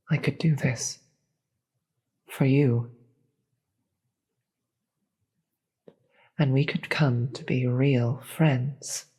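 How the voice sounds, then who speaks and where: Demon queen played by a voice actor for fantasy audio drama: regal, persuasive, very slow and measured, husky with whispered edges. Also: mid-range voice, a little mellow. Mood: tempting, intimate. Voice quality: breathy, often dropping into whisper. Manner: slow, formal, measured, grave. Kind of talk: scripted fantasy audio roleplay monologue.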